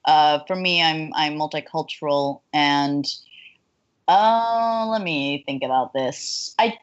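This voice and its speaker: A young woman from Colombia chats with animated, bubbly energy and plenty of fillers. Her voice sits a bit low, and the call audio sounds dull and lo-fi. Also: slow. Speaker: guest voice actor. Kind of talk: casual interview chat.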